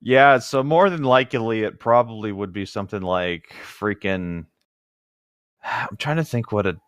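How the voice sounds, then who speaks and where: A man's voice: fairly low pitch, unhurried, no echo, clean studio sound. A man reading novel narration aloud as a co-host, dropping into casual asides. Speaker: co-host of a comedic read-aloud show.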